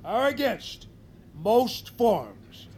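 An earnest cartoon townsman in an audio comedy. His voice is very high for a man, with lofty, solemn speechifying. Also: sing-song, very slow, darkish tone, a little hiss or hum, heavily acted. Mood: grandly earnest. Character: earnest, grandiose male townsfolk creature.

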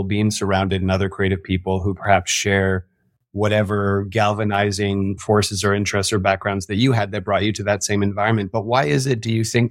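Guy hosting a podcast, lowish voice, keen and admiring, dry close mic. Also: no echo. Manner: enthusiastic, admiring questions. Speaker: podcast host. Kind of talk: interview questions.

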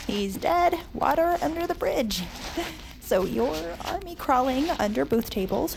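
A woman playing an earnest, urgent girl with an excitable, sometimes sarcastic manner in an audio drama. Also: on the high side, vocal fry, background noise. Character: earnest, urgent girl fan leading an escape.

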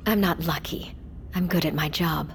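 Curt, proud woman scientist, lowish voice. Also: normal speed, gravelly, slight background noise. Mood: cold, defensive. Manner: curt, clipped, matter-of-fact. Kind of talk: audio drama acting.

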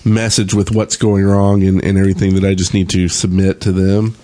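A man's voice: deep voice, level tone, brisk, mellow. Casual man co-hosting a gaming podcast. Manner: wry, joking grumbler. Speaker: podcast host. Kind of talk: casual group chat about gaming.

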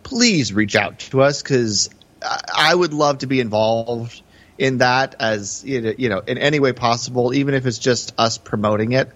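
A man's voice: medium pitch, normal speed. A male podcast host in casual film discussion, talking emphatically in a conversational way. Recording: slightly dull, band-limited podcast audio.

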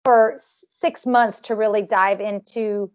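A woman's voice: mid-range voice, fairly even intonation, unhurried, muffled recording. A woman with a nasal voice, a performer talking casually in an interview, full of restarts and fillers. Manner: talks in long runs with many restarts and fillers. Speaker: tribute performer guest.

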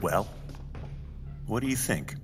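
An actor playing an imperious, coldly menacing wealthy client in an audio drama, speaking in a formal, clipped, condescending way. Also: male voice, lowish voice, lively intonation, slow pace, over music. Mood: cold menace. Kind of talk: audio fiction acting. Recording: long echoing hall, faint noise.

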